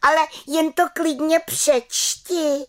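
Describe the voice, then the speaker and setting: A woman's voice: on the high side, fairly even intonation, gravelly, slightly rough, no room sound, clean studio sound, pitched above the performer's own voice. A woman voicing a plucky, proud young witch girl in a storybook, exclaiming with spirited defiance. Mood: defiant.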